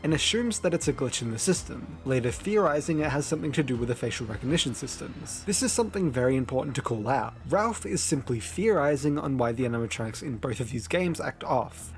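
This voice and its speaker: Man narrating a video essay over music. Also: on the high side. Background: background music.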